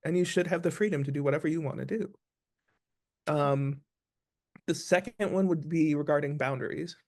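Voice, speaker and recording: Guy actor in an interview, kinda higher voice, earnest, giving advice, repeats stuff to hit the point. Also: moderate pace, a little mellow. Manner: earnest, emphatic, repeats phrases for stress.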